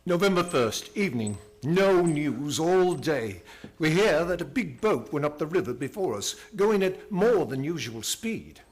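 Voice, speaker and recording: An actor playing an earnest doctor hunting vampires in a radio play, with a dramatic manner. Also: male voice, fairly high pitch, sing-song, measured pace. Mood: grave.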